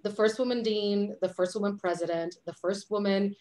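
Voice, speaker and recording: A woman from Puerto Rico in her forties talks with lively hand gestures over a video call from a dim home office. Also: mid-range voice, normal speed, poor audio. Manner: animated, gestures a lot with her hands. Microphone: webcam on a video call.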